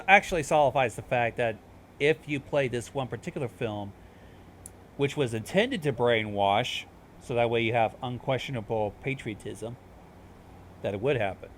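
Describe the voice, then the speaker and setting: A man's voice: medium pitch, slight background noise. A man giving casual commentary as a co-host in a group discussion of videos. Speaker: reaction show co-host.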